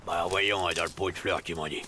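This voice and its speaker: A man's voice: deep voice, steady tone, brisk, noisy background, lightly acted. An actor voicing an offhand, grumbling working man in a French cartoon.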